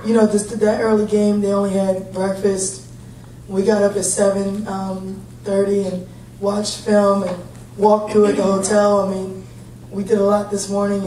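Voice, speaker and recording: A woman coach in her thirties speaks steadily and plainly at a post-game press conference. Her voice is mellow and her pitch stays fairly level. The sound comes from a distance, with a roomy echo and a faint hum behind it. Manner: steady, plain-spoken, upbeat. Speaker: head basketball coach. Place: large conference room. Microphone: table mic, heard from a distant camera. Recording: echoey room, distant mic, faint hum.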